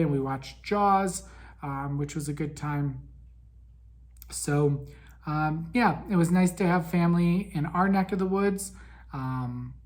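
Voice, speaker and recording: Raspy man with a head cold. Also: a little high, darkish tone. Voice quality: raspy, weak in breath. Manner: chatty, many ums. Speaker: podcast host. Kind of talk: casual monthly recap chat.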